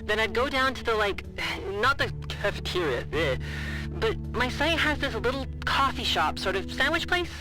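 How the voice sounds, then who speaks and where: A bored, gossip-hungry woman working a radio in an audio drama. Her delivery is hesitant, casual and rambling, with an animated, swooping pitch. Music plays behind her. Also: moderate pace, rough recording. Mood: bored, coaxing. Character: bored, chatty, awkward office radio operator, eager for gossip. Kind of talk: naturalistic audio drama dialogue.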